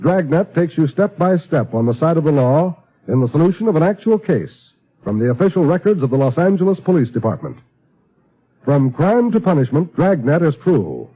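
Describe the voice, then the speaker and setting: A man's voice: a little high, husky. A man with an American accent making formal, emphatic scripted announcements in a 1950s radio style. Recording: muffled old radio broadcast recording.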